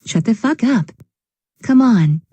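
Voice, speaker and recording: A woman's voice: a little high, lively intonation, takes it slowly, warm tone, breathy edge, no room sound, exaggerated voice. A woman playing an impatient, crude, bossy wife in scripted drama, demanding and abrasive. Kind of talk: scripted domestic drama dialogue.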